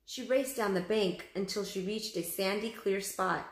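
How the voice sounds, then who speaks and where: A woman's voice: medium pitch, measured pace. A woman teacher reading a children's story aloud expressively, addressing young pupils. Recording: echoey room.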